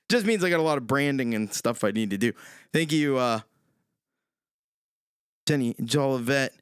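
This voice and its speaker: A man hosting his own live stream, chatting quickly and casually with viewers, his voice worn out from singing. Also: animated, clean tone, dry recording, clean studio sound. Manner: quick, low-key, lots of ums and uhs. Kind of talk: casual chat with viewers between songs.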